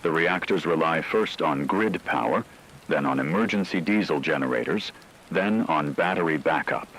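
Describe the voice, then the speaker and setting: A man playing a grave emergency radio announcer, reading scripted broadcast narration in a measured, formal, somber way. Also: extremely low pitch, animated, fairly bright tone, slight background noise, full character voice, poor audio. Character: grave male emergency radio announcer during global blackout.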